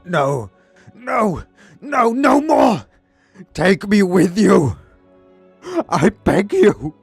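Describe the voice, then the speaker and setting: Weary ancient warrior, anguished, pleading, dramatic audio story, music behind. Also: male voice, sits high, very animated, very slow, creaky, breathy edge, dry recording, voice pushed up, heavily acted. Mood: desperate anguish. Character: weary ancient male warrior begging for death.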